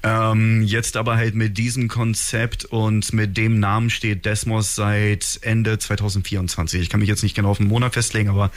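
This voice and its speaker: Rambling man, deep voice, many fillers. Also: level tone, moderate pace, few pauses. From Kazakhstan. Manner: rambling, heavy use of fillers like halt and äh. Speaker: rapper in a band. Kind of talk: casual interview chat.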